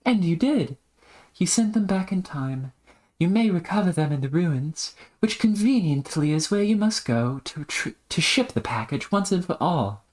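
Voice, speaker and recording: Someone doing a genteel British correspondent, cheery, prim, old-fashioned phrasing, swooping pitch, pitched up. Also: lively intonation, normal speed, darkish tone, lightly acted. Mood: brisk, encouraging.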